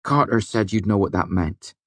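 A man doing an eager teenage apprentice lad, raised well above the reader's own low register and pushed louder. He sounds earnest and pleading, quick to bristle, with a dry, close sound. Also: dry recording. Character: teenage male smith's apprentice, eager, defensive.

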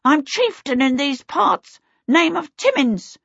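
A woman's voice: sits high, big pitch swings, slow, gravelly, fairly bright tone, no room sound, lo-fi sound, pitched above the performer's own voice, full character voice. A woman voicing a small, aggressive male kobold chieftain, blustering and indignant, in a storybook reading.

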